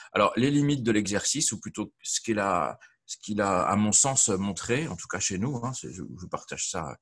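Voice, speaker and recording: A man giving an informal webinar talk as a local official, pausing often as he searches for his words. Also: on the low side. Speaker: local elected official on a panel. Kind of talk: informal spoken presentation in a webinar.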